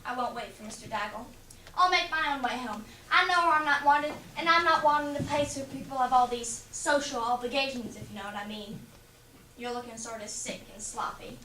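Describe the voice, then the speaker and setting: A woman's voice: a little high, a little fast, bright, forceful tone. A woman playing a bitter, drunken grieving mother on stage, rambling and needling as she talks. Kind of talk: theatrical stage acting. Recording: echoey stage, distant mic, faint noise.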